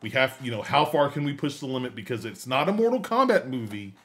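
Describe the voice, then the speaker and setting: A man's voice: mid-range voice, very animated, fast talker. A man co-hosting a podcast in casual discussion, emphatic, often repeating and rephrasing his points to drive them home. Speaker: podcast co-host. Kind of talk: casual discussion of films and games.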